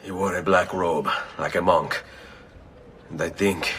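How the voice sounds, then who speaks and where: An actor playing a determined, courteous assassin in a video game, speaking in a measured way with an Italian accent. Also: male voice, sits low, fairly even intonation, a bit bright, husky, exaggerated voice. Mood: calm, intent. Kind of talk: video game cutscene acting.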